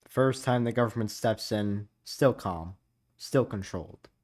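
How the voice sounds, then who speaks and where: A man's voice: fairly low pitch, measured pace, darkish tone. A man hosting a reaction video, reading captions aloud and adding casual commentary.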